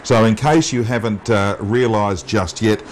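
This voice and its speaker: Australian man, slightly low pitch, amateur presenter, frequent hesitations, unscripted, light background noise. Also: fairly even intonation. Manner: halting, with long um and uh pauses. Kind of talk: unscripted walk-through of a station setup. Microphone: wireless mic pack. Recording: noisy amateur TV transmission audio.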